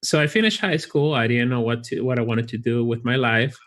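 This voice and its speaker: A man with a Chilean Spanish accent, a college recruitment director, talking in a friendly, relaxed way with frequent restarts in a casual interview. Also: medium pitch, a little fast. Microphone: video call.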